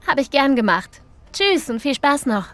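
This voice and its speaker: A woman plays a cheerful, sociable young interviewee in a language-learning dialogue. Her voice is slightly high and her manner informal. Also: big pitch swings, moderate pace, a bit bright. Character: easygoing, sociable young female survey respondent. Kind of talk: scripted language-lesson dialogue.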